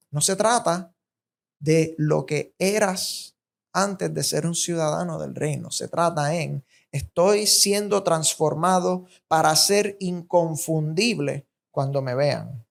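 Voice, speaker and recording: A woman with a Puerto Rican accent preaching a sermon in an emphatic, deliberate way, often building points through repeated phrases. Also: medium pitch. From Puerto Rico.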